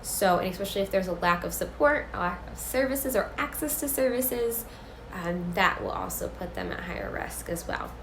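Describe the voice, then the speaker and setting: A woman's voice: mid-range voice, very animated, normal speed, fairly bright tone, roomy sound, slight background noise, low-quality recording. A woman with a congested, sick voice hosting an educational live stream, earnest and full of fillers, repeating words for emphasis.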